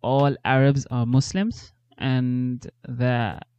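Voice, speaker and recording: A young man from Saudi Arabia whose first language is Tamil, speaking as a podcast guest in relaxed conversation, pausing and restarting as he thinks. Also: medium pitch, smooth, dull audio. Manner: thoughtful, lots of fillers and restarts. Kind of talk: casual conversation.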